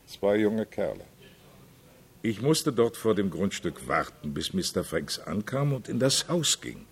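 A working-class taxi driver in a radio drama. His voice is low and creaky, and he gives his account in a plain, colloquial, very animated way. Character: talkative working-class Sicilian-born taxi driver giving testimony. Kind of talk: radio play acting.

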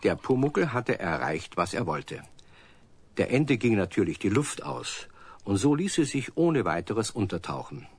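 A man's voice: on the low side, big pitch swings, measured pace, slight background noise. A man narrating a children's radio play as a scripted storyteller.

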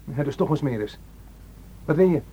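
A man's voice: fairly high pitch, expressive, moderate pace, warm tone, slight background noise, natural voice, poor audio. An actor playing a surly, defiant young man in a radio drama, curt and sneering. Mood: hostile.

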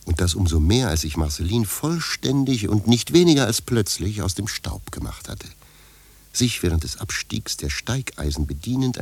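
A man's voice: fairly low pitch, a little mellow, no echo. A man narrating a German radio play in a scripted, literary style.

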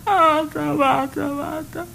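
An actress playing a wounded, betrayed wife in a theatrical monologue, bitter and defiant, with halting, intense delivery. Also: female voice, high-pitched, steady tone, a bit bright. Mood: anguished, tearful. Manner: dramatic, halting, intense, dropping to breathy whispers. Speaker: stage actress performing a solo.

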